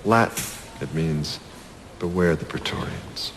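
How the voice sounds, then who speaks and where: An actor playing a smug, condescending security chief in a crime audio drama, brusque and self-important with veiled menace. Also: male voice, extremely low pitch, unhurried, gravelly, a little hiss or hum, exaggerated voice.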